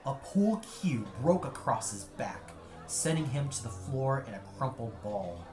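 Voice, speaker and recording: A male narrator reads a dramatic audio story at a slow, deliberate pace. His delivery is solemn and measured, recorded in a roomy echo with music underneath. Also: mid-range voice, sing-song. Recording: echoey room, music underneath.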